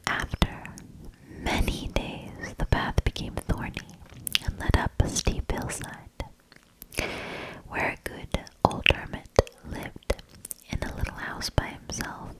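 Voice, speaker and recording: A woman reading a fairy tale in a soft, gentle ASMR whisper, breathy and calming. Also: takes it slowly, low-quality recording. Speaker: ASMR reader.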